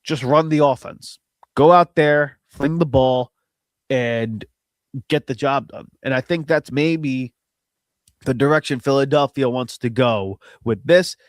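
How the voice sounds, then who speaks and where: A man's voice: medium pitch, unhurried, no echo. Male podcast host, nasal and stuffed up, dry close sound, rambling opinions. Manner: rambling, opinionated, self-deprecating. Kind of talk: casual solo sports commentary.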